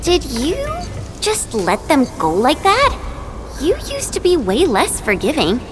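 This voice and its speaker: A high, lively young investigator. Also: female voice, unhurried, full character voice. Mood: curious. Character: bubbly, quick-witted young woman investigator, talkative and expressive. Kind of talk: animated video game voice acting. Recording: loud music behind the dialogue.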